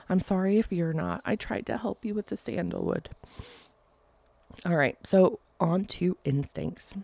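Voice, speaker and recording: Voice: mid-range voice, creaky, a little mellow, dry recording. A podcast host with a congested, nasal voice, talking casually and rambling into playful asides while recording alone at home. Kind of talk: casual solo podcast talk.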